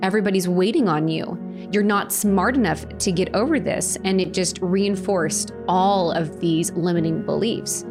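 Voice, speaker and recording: A woman with a fairly low voice speaks earnestly about her own life, pausing and restarting as she reflects. Soft music plays faintly beneath her. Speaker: interviewee sharing her faith story. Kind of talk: personal testimony.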